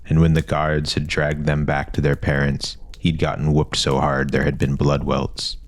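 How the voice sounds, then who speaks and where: A male narrator with an exceptionally deep voice reads a fantasy tale. The delivery is scripted storytelling, captured very dry with no room sound. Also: completely flat delivery, normal speed, no echo.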